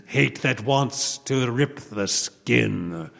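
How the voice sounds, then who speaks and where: Creaking, vengeful dead man. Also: mid-range voice, lively intonation, fairly bright tone, exaggerated voice. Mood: menacing hatred. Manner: drawn-out, menacing delivery. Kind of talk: horror radio drama acting. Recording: long hall-like reverb.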